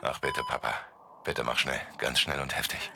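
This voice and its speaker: An actor playing a feverish, delirious dying gangster, pleading in rambling fragments in a radio play. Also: male voice, extremely low pitch, steady tone, normal speed, vocal fry, music in the background.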